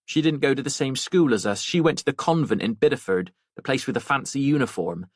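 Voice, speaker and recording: A man's voice: mid-range voice, expressive, brisk, husky, dry recording, clean studio sound, pitched above the performer's own voice. A man voicing a talkative, rambling working-class woman gossiping in an audiobook. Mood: chatty, matter-of-fact. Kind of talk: audiobook character voice.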